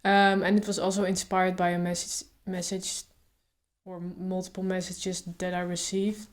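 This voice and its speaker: A woman speaks calmly and thoughtfully, stuttering openly with repeated sounds and blocks. Her pitch stays flat and steady, with little rise or fall. She thinks aloud in a casual way. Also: moderate pace. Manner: calm, reflective, thinks aloud with fillers. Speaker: vlogger. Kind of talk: casual talk to camera.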